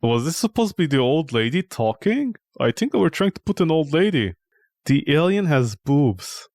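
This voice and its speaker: A man's voice: fairly high pitch, very animated, moderate pace, darkish tone, dry recording, studio quality. A man streaming a game with casual, mocking, exasperated commentary and plenty of swearing. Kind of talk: casual, profane gameplay commentary.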